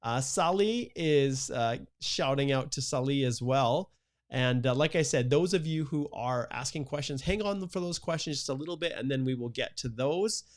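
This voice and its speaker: A man's voice: medium pitch, normal speed. A man hosting a live-streamed immigration Q&A, chatting casually and reading out viewer comments, with lots of "uh" fillers. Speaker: immigration lawyer, stream host.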